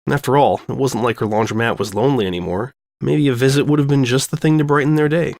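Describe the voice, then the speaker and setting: A man's voice: mid-range voice, fast, vocal fry, darkish tone, no echo. A man reading fan fiction as scripted story narration.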